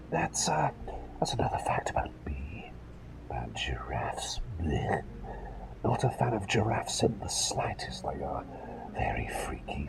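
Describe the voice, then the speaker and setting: A man acts out an eccentric plague doctor for an ASMR roleplay. The voice is mostly a whisper with a gravelly creak, swinging widely up and down. He rambles in polite, old-fashioned phrases, absent-minded and hesitant. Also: medium pitch, full character voice, poor audio. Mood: uneasy, puzzled. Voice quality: breathy whisper with creaky edges.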